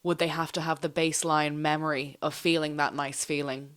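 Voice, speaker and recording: Low-voiced woman asking curious questions. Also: flat delivery, brisk, fairly bright tone, clean tone. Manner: curious, asks thoughtful follow-up questions. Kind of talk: casual conversation.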